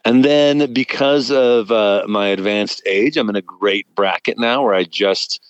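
A man's voice: lowish voice, a little slow, clean tone, no echo, dull audio. A man in his fifties, a guest comedian in casual podcast chat, who laughs often and makes jokey asides. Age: 50s.

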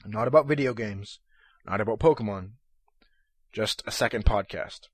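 A young man with a fairly low, slightly hoarse voice rambles through a solo podcast in an even, monotonous way. He stumbles, restarts, and leans on fillers. The sound is dry and close, with no room ring. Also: normal speed, dry recording. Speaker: podcast host. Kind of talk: casual solo podcast talk. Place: room at his computer.

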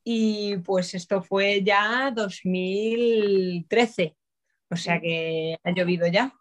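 A woman's voice: medium pitch, fairly even intonation, extremely slow. A woman from Galicia with a Spain Spanish accent, a podcast guest talking casually and laughing easily. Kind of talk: casual conversation.

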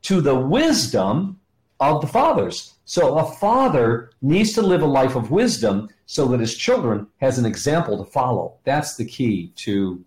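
Male guest teacher, middle-aged or older, average pitch, explanatory and emphatic, strongly roomy sound. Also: sing-song, darkish tone. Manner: explanatory, emphatic pauses, rhetorical questions. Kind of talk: teaching in conversation, quoting scripture.

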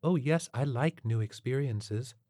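A man voicing a waifish, naive female ghost in a story, gentle and guileless. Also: medium pitch, a little slow, no echo, clean studio sound, pitched above the performer's own voice, lightly acted. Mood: mild, curious. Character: waifish, softly naive ghost girl, open to new experiences.

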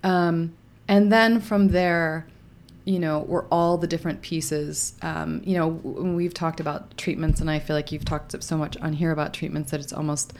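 Woman, kinda low voice, soft and reflective, lots of ums and restarts, easygoing interview chat. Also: flat delivery, normal speed, few pauses, a little hiss or hum. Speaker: guest. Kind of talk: casual conversational interview.

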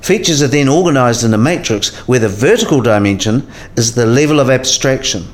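Man acting didactic professor with animated intonation. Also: mid-range voice, fairly quick, close to an everyday voice. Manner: didactic, precise. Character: male professor patiently explaining category theory. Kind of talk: explanatory radio play dialogue.

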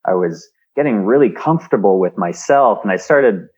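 Canadian man, interview guest, lowish voice, keeps repeating words, muffled remote call sound. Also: animated, moderate pace, darkish tone, slightly rough. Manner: frequent word repeats and restarts. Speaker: guest, speaker and engineer. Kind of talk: remote interview, reflective storytelling.

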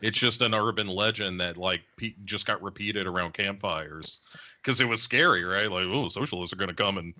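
A man's voice: low-pitched, moderate pace, sharp tone. A man on a podcast, casually chatting in a joking, sarcastic way. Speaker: podcast guest. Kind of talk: casual chat.

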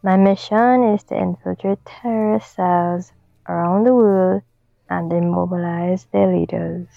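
Gravelly Trinidadian woman. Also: lowish voice, fairly even intonation, a little slow, dry recording, phone-quality sound. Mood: matter-of-fact. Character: adult woman on a dinner date, a simulated companion.

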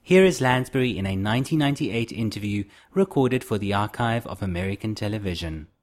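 A man narrating a documentary in a measured, informative way. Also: lowish voice, lively intonation. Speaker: narrator host.

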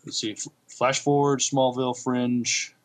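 A man's voice: mid-range voice, little pitch movement, unhurried. A man co-hosting a podcast, chatting casually about television and often hesitating as he searches for words. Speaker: podcast co-host. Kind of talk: casual TV review chat.

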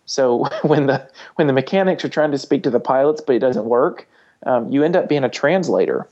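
Halting male panelist, slightly dull sound. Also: mid-range voice, animated, darkish tone. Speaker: flight instructor and podcast panelist. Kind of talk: casual panel discussion with anecdotes.

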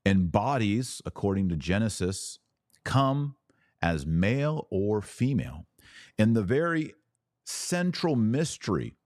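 A man's voice: low-pitched, darkish tone, no room sound, studio quality. A man hosting a religious commentary podcast, speaking to camera in an emphatic, didactic way with rhetorical questions. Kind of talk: opinionated religious commentary to camera, reading aloud at times. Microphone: close mic at a webcam setup.

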